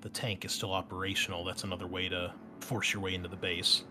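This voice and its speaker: A man's voice: low voice, flat delivery, fast talker, pushed and bright, faint music behind. A man hosting a tabletop game as game master, chatting casually and teasing the players. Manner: jokey, teasing.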